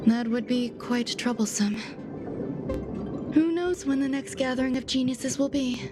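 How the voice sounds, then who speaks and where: A woman voicing a soft-spoken, detached genius scientist in a game cutscene, calm and measured, at times dropping to a whisper. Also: sits high. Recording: game audio with music behind. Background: music.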